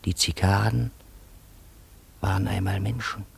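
An actor playing a weary, musing male storyteller in a poetic radio play, with a halting, declamatory delivery. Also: low voice, level tone, gravelly, breathy edge, exaggerated voice.

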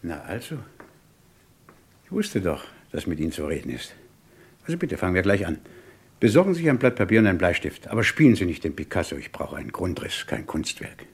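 An actor playing a coolly menacing boss in a crime radio play, curt, commanding and condescending, with a husky voice. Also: male voice, sits low, very animated, fairly quick, heavily acted. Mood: smug, commanding. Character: coolly menacing male boss hiring an accomplice to betray.

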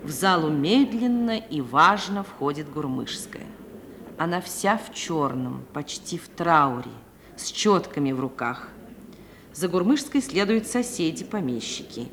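A woman with a fairly low voice narrates a radio play in scripted phrases. The 1950 recording carries a faint hiss. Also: expressive, unhurried, fairly bright tone.

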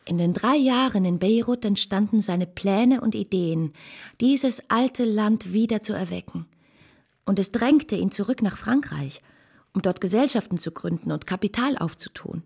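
An actress playing a reflective, idealistic woman of 19th-century Paris, narrating her memories in a radio drama with a husky voice. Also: medium pitch, expressive, normal speed, darkish tone. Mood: wistful, reflective.

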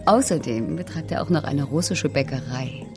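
A woman's voice: low voice, normal speed, over music. An actress playing a forward, easygoing woman on a date in a radio crime drama, casual and playful. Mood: playful, chatty.

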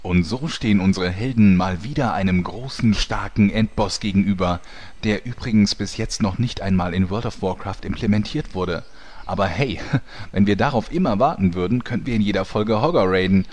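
Casting: male actor as wry narrator, lowish voice, rambling asides, tongue-in-cheek fantasy parody. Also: normal speed, darkish tone, slight background noise, natural voice.

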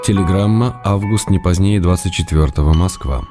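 A man's voice: low-pitched, normal speed, loud music behind the voice, lightly acted. An actor playing an ironic, tender male writer who reads his letters with playful mock-drama. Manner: teasing mock-dramatic irony. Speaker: letter reader. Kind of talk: acted reading of letters in a radio play.

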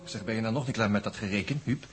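An actor with a Netherlands Dutch accent playing a chatty, nervy, excitable astronaut in a 1960s radio drama. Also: male voice, fairly low pitch, quick pace, over loud music, natural voice. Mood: impatient, nervous. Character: jittery, talkative male astronaut on a test crew. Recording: noisy vintage 1960s radio recording.

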